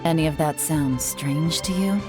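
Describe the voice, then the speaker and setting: A woman's voice: sits low, measured pace. A woman playing a cool, shrewd female secret agent in a video game, speaking in a composed, calculating, wry way. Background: faint game music.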